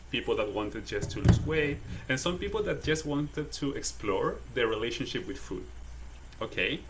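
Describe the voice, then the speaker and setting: Man, Chilean accent, non-native English, bright tone, faint background hiss, hesitant, often adds "okay?". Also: medium pitch, poor audio. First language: Spanish. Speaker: wellness coach, guest. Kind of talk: casual livestream interview.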